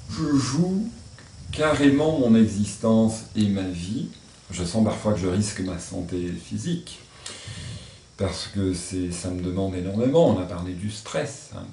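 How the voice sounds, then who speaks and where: Man, religious, reflective interview; mellow timbre, faint hiss behind, echoey room. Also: fairly low pitch, unhurried. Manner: earnest and searching, thinks aloud. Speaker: member of a religious order. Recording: echoey room, mic at a distance.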